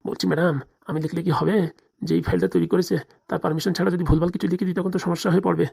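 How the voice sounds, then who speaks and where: A man's voice: high voice, little pitch movement, rapid-fire, vocal fry, a little mellow, slightly rough, dry recording, studio quality, exaggerated voice. A man voicing timid, fretful police officers in a comedy cartoon, hesitant, stammering and deferential. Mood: cautious, polite. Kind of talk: comic cartoon dialogue.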